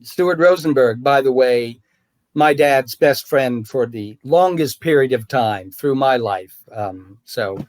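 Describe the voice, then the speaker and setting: Relaxed man telling stories. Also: medium pitch, slow pace, no echo. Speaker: guest actor. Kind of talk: casual video call chat.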